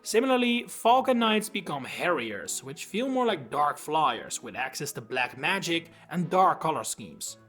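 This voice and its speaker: Lively Dutch-accented man narrating. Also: sits high. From Netherlands. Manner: expressive, enthusiastic, with wry asides. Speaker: YouTube host. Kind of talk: scripted video essay narration. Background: faint background music.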